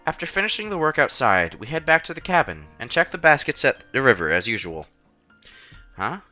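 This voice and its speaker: A man reads a visual novel aloud for a stream, mixing narration with casual asides. His voice is bright and forceful, and his delivery is playful. The sound is a little dull and lo-fi, with music playing behind him. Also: medium pitch, big pitch swings, a little fast. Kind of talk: reading story text aloud with casual commentary.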